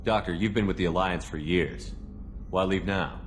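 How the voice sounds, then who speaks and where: A man's voice: deep voice, normal speed, faint music behind, lightly acted. A man playing a businesslike starship commander in a video game, direct and authoritative. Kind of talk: video game cutscene voice acting.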